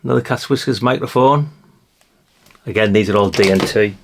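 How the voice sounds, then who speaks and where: A man's voice: fairly low pitch, moderate pace, noisy background. A British man giving a casual hands-on show-and-tell as a vlogger, musing aloud in a rambling way with chatty asides to the viewers. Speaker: vlogger showing a haul of vintage CB radios.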